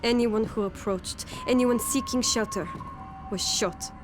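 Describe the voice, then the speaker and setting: A woman's voice: on the high side, unhurried, noisy background, close to an everyday voice. An actress playing a gracious but wary woman who survived a plague, polite and sober, in a video game. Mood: somber, bitter. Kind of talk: video game cutscene acting.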